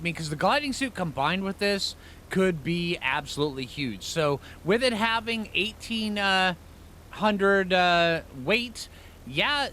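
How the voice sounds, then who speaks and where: A man with a bright, fairly high voice talks through a video game as host. His pitch swings in a lively way as he thinks aloud in loose, slangy phrases. Faint game ambience sits behind him. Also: slight background noise. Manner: informal slangy phrasing, rambling asides. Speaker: gaming channel host. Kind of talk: casual gameplay commentary, thinking aloud.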